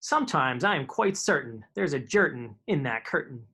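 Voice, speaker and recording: A man's voice: mid-range voice, lively intonation, normal speed, bright, forceful tone, slightly rough. A man reading children's picture books aloud in a live storytime, with informal asides to his young listeners.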